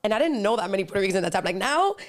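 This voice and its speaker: A young woman guest talks at a quick clip in relaxed conversation. She fills her sentences with "like" and switches into Spanish phrases mid-thought. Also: medium pitch. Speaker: guest, singer and actress. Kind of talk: casual interview chat.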